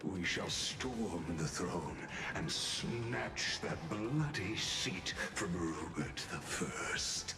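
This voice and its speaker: Deep, slow, pompous epic narrator. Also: male voice, expressive, reverberant, heavily acted. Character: grandiose male narrator of a mock war epic. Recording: game audio over music.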